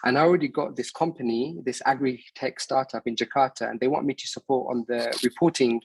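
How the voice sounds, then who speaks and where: A man in his early thirties with a somewhat husky voice, recorded close and dry. He talks through an online meeting in a loose, casual way, stopping often to restart his sentences. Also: mid-range voice, fairly even intonation, fairly quick, no room sound, poor audio. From Bangladesh, raised in England. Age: 30s. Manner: hesitant, many fillers and restarts. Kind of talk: casual presentation on a video call.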